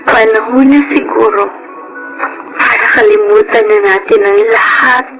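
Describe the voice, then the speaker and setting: A woman's voice: on the high side, rough recording. A woman with a Filipino accent playing a sorrowful married woman resisting forbidden love in a melodramatic audio drama. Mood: tearful, pleading. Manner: plaintive, measured. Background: loud background music.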